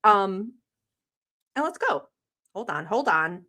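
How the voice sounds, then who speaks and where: A woman's voice: medium pitch, sing-song, slow, bright, forceful tone. A young woman born in Turkey, Turkish her first language, hosting a casual livestream, chatty and teasing, laughing often and swearing casually. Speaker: livestream host. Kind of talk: casual livestream chat reacting to a video.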